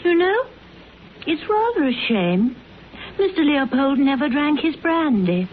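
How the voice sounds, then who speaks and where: An actress with a British accent playing a bright, poised young secretary in a vintage radio detective drama. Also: female voice, high-pitched, very animated, a little slow. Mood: chatty. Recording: dull lo-fi old radio, faint hiss.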